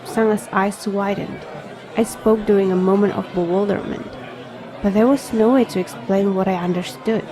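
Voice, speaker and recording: A woman narrating an audio drama in the first person as an anxious young protagonist, grave, measured and introspective. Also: medium pitch, steady tone, crowd noise, lightly acted, rough recording. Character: anxious young reader, inwardly narrating an apocalypse.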